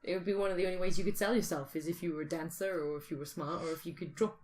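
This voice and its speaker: A woman's voice: on the low side, fairly even intonation, racing, a little mellow, a little hiss or hum. A woman podcast co-host in casual speculative discussion, thinking aloud and often posing questions.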